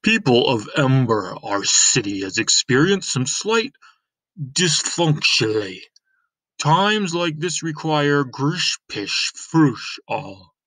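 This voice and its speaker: A man voicing a flustered city mayor giving a formal, halting public speech in a read-aloud. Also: medium pitch, very animated, a bit bright, no room sound, pitched above the performer's own voice, heavily acted, poor audio.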